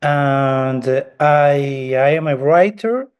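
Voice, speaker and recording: A man's voice: mid-range voice, clear, resonant tone, muffled recording. A man from Argentina, a native Spanish speaker with an Argentine accent, introducing himself carefully on a panel. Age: adult father. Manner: slow and careful, with repeated restarts.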